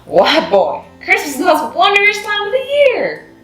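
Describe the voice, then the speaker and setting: A woman voicing a kindly, jolly older male janitor from a children's storybook in a warm, reassuring, emphatic way. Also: extremely high pitch, wildly swooping pitch, measured pace, pitched above the performer's own voice, full character voice. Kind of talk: children's storybook read-aloud. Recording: roomy echo, music behind.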